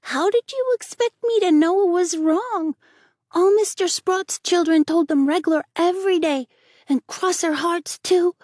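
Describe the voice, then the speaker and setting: Woman playing a cheeky small boy, high and childlike, plain folksy speech, dry read. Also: animated, a little slow, a little mellow, no room sound. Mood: sobbing pleas. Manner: childlike, colloquial, pleading. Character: mischievous small boy, frank and tearful.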